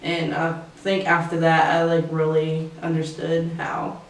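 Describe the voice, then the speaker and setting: A woman's voice: deep voice, monotone, measured pace, gravelly. Hesitant nineteen-year-old woman, echoey and distant. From Kentucky. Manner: hesitant, many ums. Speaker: interviewee.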